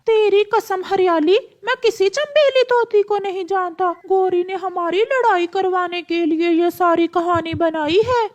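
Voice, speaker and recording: Woman as a very shrill, excitable cartoon parrot husband. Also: measured pace, few pauses, dull audio. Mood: pleading, earnest. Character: excitable, hot-tempered male parrot husband, gullible and remorseful. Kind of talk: Hindi children's cartoon voice acting.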